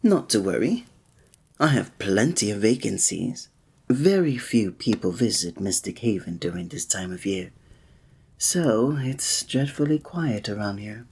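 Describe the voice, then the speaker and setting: Man playing a husky, flirtatious fantasy innkeeper. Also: lowish voice, lively intonation, a little slow, a little mellow, full character voice. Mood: courteous, warm. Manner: formal, old-fashioned, teasing, suave diction. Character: flirtatious, courteous male innkeeper in a fantasy village. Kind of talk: scripted ASMR roleplay addressed to the listener.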